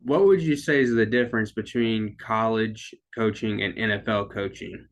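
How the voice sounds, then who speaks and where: A man's voice: fairly low pitch, moderate pace, slight background noise. A man conducting an interview, asking questions in a conversational way.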